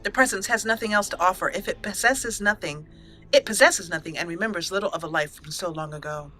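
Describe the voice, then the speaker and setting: A woman hosting a let's play, telling the game's events as a roleplay story in a measured way. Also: fairly low pitch, bright, forceful tone, soft background music, low-quality recording. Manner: measured storytelling, with an occasional chuckle. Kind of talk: roleplay narration of a video game.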